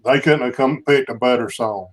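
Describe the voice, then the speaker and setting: A man's voice: mid-range voice, moderate pace, noisy background. Laid-back male stream host with a chuckling, easygoing delivery. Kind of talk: casual live stream chat.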